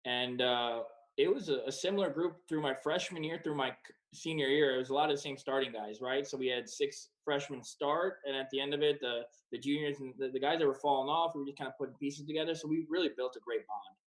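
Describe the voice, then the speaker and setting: Male, 20s, pro athlete guest, relaxed and rambling, average pitch. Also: quick pace, poor audio. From Croatia and the United States. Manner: relaxed, rambling with many fillers. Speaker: professional soccer player. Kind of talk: casual interview answers.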